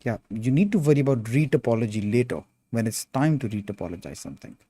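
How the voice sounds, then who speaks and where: A young man with an Indian accent chats loosely while he works, his voice warm and mellow. He reads viewer messages aloud and throws in "bro" as he goes. The sound is dry and close, with no room around it. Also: mid-range voice, expressive, fast, breathy edge, no room sound. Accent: Indian English. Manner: laid-back, calls viewers bro, reads chat aloud. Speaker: sculpting streamer. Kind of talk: casual livestream chat.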